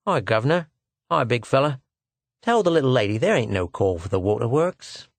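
A man's voice: mid-range voice, very animated, fairly quick, no room sound. A man voicing a small, cheeky fruit seller in a story, slangy, ingratiating and impudent.

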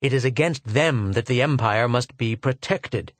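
A man's voice: mid-range voice, normal speed, no room sound, close to an everyday voice. A man doing a grim, weary old physicist. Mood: earnest. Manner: grim, earnest and somber. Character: weary, haggard older male physicist, grim.

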